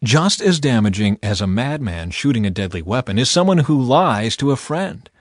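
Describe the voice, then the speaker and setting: A man reads scripture aloud in a dramatized style, his medium-pitched voice a little rough and husky. The delivery is emphatic and deliberate, moving through a wide range of intonation. Quiet music plays behind him. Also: no echo, studio quality. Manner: theatrical, emphatic, deliberate delivery with expressive swells and drops. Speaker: Bible narrator. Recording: voice over background music.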